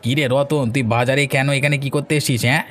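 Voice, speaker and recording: A man's voice: medium pitch, fairly even intonation, quick pace, a bit bright, a faint crowd, slight background noise, natural voice. A man playing a swaggering, threatening village bully and henchman in a cartoon. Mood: menacing. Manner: threatening, taunting. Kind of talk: cartoon dialogue acting.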